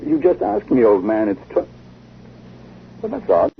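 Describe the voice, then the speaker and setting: An actor playing a sceptical, uneasy gentleman in a 1950 radio drama, with a formal, hesitant, incredulous way of speaking. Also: mid-range voice, sing-song, measured pace, vocal fry. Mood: puzzled. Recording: noisy, muffled old radio broadcast.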